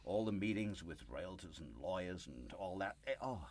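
A man acting in a radio play as a needy, anxious small-business hopeful, halting and nervously insistent in naturalistic dialogue. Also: sits low, expressive, darkish tone, slight background noise. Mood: strained cheer, weary.

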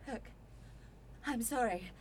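An actress playing a guarded, blunt young student in a radio drama, speaking in a clipped, defensive way. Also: female voice, fairly high pitch, slow pace, husky. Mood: defensive, upset. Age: young adult.

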